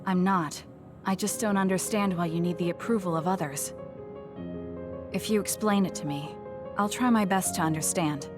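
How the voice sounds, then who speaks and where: An actress playing a blunt, socially awkward young woman soldier in a video game, speaking in a measured, earnest, matter-of-fact way. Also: lowish voice, over music, close to an everyday voice. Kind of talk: English video game voice acting.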